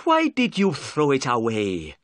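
A man reading a storybook voices an irritable male police inspector who speaks in a sharp, raised voice. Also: mid-range voice, huge pitch swings, unhurried, no echo, studio quality. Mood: angry.

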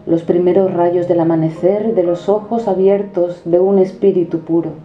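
A woman declaiming poetic prose in a solemn, measured recitation. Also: on the low side, steady tone. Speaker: poetry reciter. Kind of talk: declaimed spoken-word poetry. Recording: dull reverberant recording over music. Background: background music.

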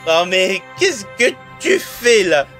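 A man's voice: sits high, very animated, slow pace, over music, exaggerated voice. A man playing a shameless, tipsy scriptwriter in a comic audio drama, rambling cheekily. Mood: cheeky. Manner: rambling, cheeky, mock-critical.

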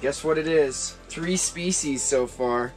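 A young American man chatting excitedly while ice fishing, recorded on an action camera inside a small tent. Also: a little high, slow, soft background music, low-quality recording. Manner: excited, upbeat, cheering catches. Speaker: vlog co-host. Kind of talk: casual outdoor chat.

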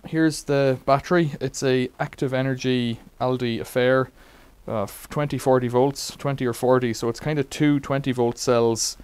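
A man's voice: medium pitch, fairly even intonation, normal speed, few pauses, a little mellow, clear, resonant tone, no echo. A middle-aged man casually demonstrating a bike repair in a cluttered workshop, rambling with wry asides.

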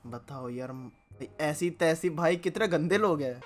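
A young man giving casual, animated gameplay commentary full of slangy, teasing exclamations. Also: fairly high pitch, measured pace. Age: young adult. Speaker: gaming YouTuber host. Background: music.

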